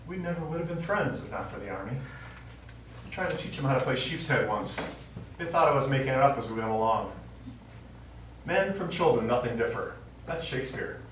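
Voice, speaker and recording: A man's voice: medium pitch, expressive, a little fast, fairly bright tone, close to an everyday voice. An actor on stage playing a forgetful, nostalgic elderly father and Army veteran who rambles wistfully through his stories. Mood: wry, reminiscing. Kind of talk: live one-act stage play acting. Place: small theatre stage. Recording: very echoey theatre, distant mic, faint noise.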